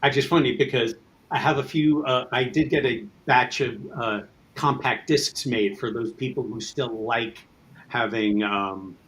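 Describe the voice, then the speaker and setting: A man's voice: mid-range voice, moderate pace, fairly bright tone, low-quality recording. A man speaking as a guest in a casual promotional interview, talking in a rambling, conversational way about his creative work. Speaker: guest, writer-producer of an audio drama.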